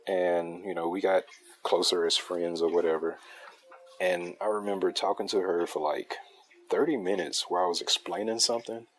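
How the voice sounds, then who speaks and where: Male streamer-artist, very low soothing voice, relaxed rambling chat. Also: normal speed, rough recording. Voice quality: deep, soothing voice. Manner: laid-back, loose and chatty, with laughs and restarts. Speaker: artist drawing a comic on a livestream. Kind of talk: casual rambling chat while drawing.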